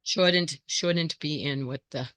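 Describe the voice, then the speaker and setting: A hoarse woman hosting her quilting channel, thinking aloud in casual chat as she sorts scrap fabric and greets viewers by name. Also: deep voice, takes it slowly, a bit bright, dry recording.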